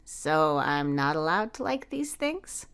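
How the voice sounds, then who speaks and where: A woman voicing a gentle, caring young transgender woman with a soft, slightly awkward manner in an audio story. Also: sits low, sing-song, slow pace, clean tone, heavily acted. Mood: teasing, gentle.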